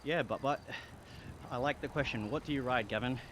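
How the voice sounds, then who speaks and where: A man's voice: mid-range voice, fairly quick. Australian man livestreaming, noisy background. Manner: chatty, slangy, reading chat aloud, thanking viewers. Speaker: live streamer. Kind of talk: casual livestream chat over gaming.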